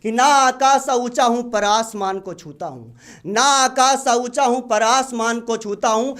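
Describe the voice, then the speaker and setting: Male open-mic poet with a very high voice, declaiming verse and repeating key lines. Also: unhurried, fairly bright tone. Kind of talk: patriotic poetry recitation at an open mic.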